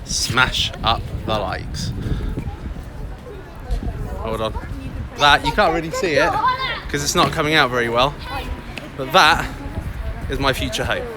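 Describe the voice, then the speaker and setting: An English man in a loud, chatty, upbeat manner, vlogging live from a busy city street into a handheld phone. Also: mid-range voice, animated, takes it slowly, pauses often, crowd noise. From England. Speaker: crypto vlogger. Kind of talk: casual live vlog. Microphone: phone held by hand, close. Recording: outdoor street noise. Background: city traffic and passers-by.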